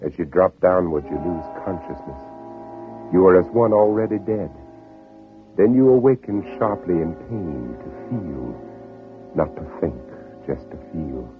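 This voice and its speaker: Deep-voiced condemned man in old radio drama. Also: lively intonation, a little slow. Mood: anguished intensity. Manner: intense, hushed, dramatic, breathy whispered passages. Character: condemned Confederate planter narrating his desperate escape in anguish. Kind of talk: 1950s radio drama acting. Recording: muffled old radio recording, dramatic music.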